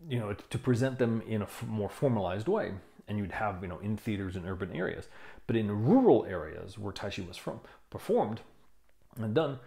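A man in his fifties giving a casual explanation to camera, thoughtful and halting, stressing key words. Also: fairly low pitch, vocal fry, dark tone. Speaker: tai chi teacher reviewing a book. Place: small room indoors. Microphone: lapel mic.

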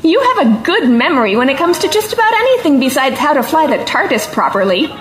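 Actress as wry female sidekick, teasing, casual, high animated voice, echoey sound, audio drama. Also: fast. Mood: amused, teasing. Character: wry, teasing female traveling companion of time traveler. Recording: echoey room, music underneath.

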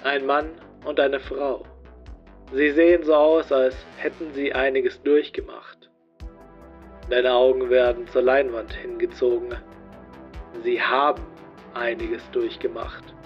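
A man's voice: mid-range voice, flat delivery, takes it slowly, faint music behind. A man giving scripted story narration, with a muffled sound.

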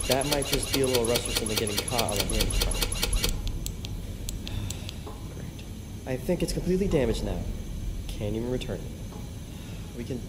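A man's voice: medium pitch, lively intonation, measured pace, pauses often, lightly acted. A man playing a robotics technician in an analog horror video, talking casually through his maintenance steps. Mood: uneasy, puzzled. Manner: casual, procedural, talking through each step. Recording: echoey, very noisy room recording.

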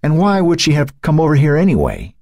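A man's voice: low voice, sing-song, fast talker, a little mellow, no room sound, clean studio sound. A man voicing an anguished, defensive teenage boy in an audiobook, with a tight, breaking voice and pleading outbursts. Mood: hurt, defensive. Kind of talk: audiobook character acting.

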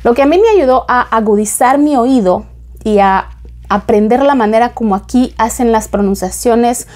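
A woman, giving casual advice to camera in a small home room on a lapel mic, lively and gesturing as she talks. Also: on the high side, moderate pace. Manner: lively, gesturing with both hands, talks straight to the viewer. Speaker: vlogger.

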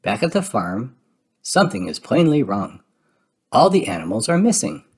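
A man reading a children's storybook aloud in expressive narration. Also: medium pitch, normal speed, breathy edge. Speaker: storybook reader.

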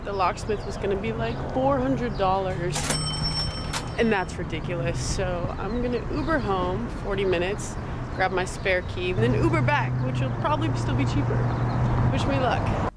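A woman's voice: medium pitch, moderate pace, very noisy. A young adult woman hosting a vlog, chatting playfully on a handheld camera. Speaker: vlog host. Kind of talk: casual vlog chat.

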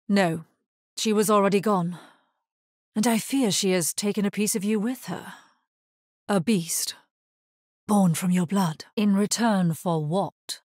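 A woman playing a grave, resolute necromancer hero in a video game, husky-voiced, speaking in a measured, solemn and terse way. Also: on the low side, darkish tone, no echo, studio quality. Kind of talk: scripted video game character lines.

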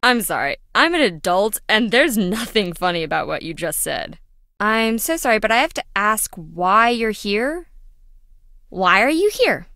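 An actress playing a quirky, excitable young lab engineer in a video game, chatty, blunt and wry. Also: female voice, mid-range voice, a little slow, no room sound, studio quality, exaggerated voice. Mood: earnest. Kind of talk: video game character voice acting.

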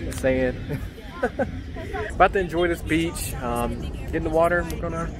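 A man's voice: a little high, moderate pace, a loud crowd. An upbeat young man from talks straight to his camera, his easy and loose. He chats about his day and keeps urging his viewers to go out and travel. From Kentucky. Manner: upbeat, chatty, encouraging. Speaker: vlogger. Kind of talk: casual vlog talk to camera. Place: outdoors in sunny street and at beach. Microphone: handheld camera at arm's length.

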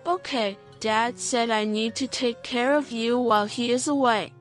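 A woman's voice: on the high side, fairly even intonation, unhurried, faint music behind, close to an everyday voice. A woman voicing an eager, helpful young boy in a children's story, with an earnest, childlike manner.

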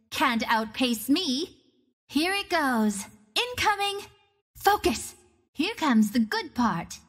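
A woman's voice: high-pitched, fairly bright tone, exaggerated voice. A woman voicing a cocky, playful young archer of the wind in a video game, with a confident, teasing manner. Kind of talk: video game character voice lines.

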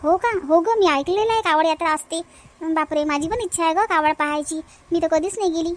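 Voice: very high-pitched, brisk. A performer voicing a chatty young married village housewife in a cartoon family comedy, with a childlike voice and a colloquial Vidarbha Marathi accent.

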